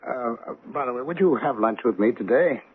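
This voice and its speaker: A man's voice: mid-range voice, lively intonation, brisk, slight background noise, natural voice. A man playing a courteous, troubled, well-off banker in an old-time radio drama, speaking formally and hesitantly. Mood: earnest. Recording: tinny band-limited radio drama recording.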